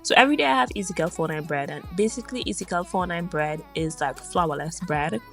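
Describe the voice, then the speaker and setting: A woman with a Trinidadian accent narrates her vlog in a loose, chatty voice-over, drifting happily from one thing to the next. Her voice sits a little low for a woman, with faint music playing underneath. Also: fast. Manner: chatty, digressive and upbeat. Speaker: vlogger.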